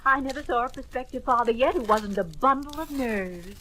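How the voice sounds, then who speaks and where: A woman playing a brisk, chatty, soothing maternity nurse in an old-time radio drama. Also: a little high, lightly acted. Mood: reassuring. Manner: chatty, reassuring. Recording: noisy vintage radio recording.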